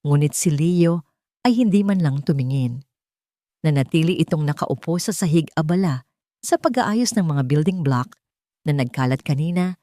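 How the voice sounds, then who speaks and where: A woman narrates a dramatic romance audiobook from a script. Her voice sits a little low for a woman, and the recording is dry and close. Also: dark tone, dry recording.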